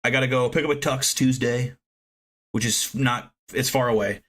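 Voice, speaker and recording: A man's voice: mid-range voice, fairly even intonation, normal speed, fairly bright tone. A man in his thirties chatting casually with viewers on a live stream, rambling from one thing to the next and swearing freely. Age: 30s. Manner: rambling, profane, self-deprecating.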